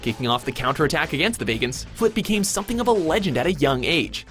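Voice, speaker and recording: A man's voice: on the high side, sing-song, fast talker, a bit bright. A man reading scripted countdown narration with a playful, punchy fan-commentary delivery. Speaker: narrator of a top ten countdown. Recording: music behind the voice. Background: background music.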